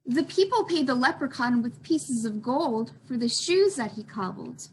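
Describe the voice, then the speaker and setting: A woman's voice: a little high, lively intonation, moderate pace, slight background noise. A woman librarian reading aloud for a children's storytime, expressively addressing young listeners.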